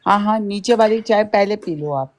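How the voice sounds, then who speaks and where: A woman's voice: mid-range voice, normal speed, noisy background. A woman explaining things informally as a co-teacher in a casual chat.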